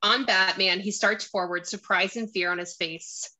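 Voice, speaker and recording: A woman's voice: mid-range voice, steady tone, normal speed, bright, forceful tone, a little hiss or hum, poor audio. A woman narrating a screenplay, reading out stage directions and scene descriptions.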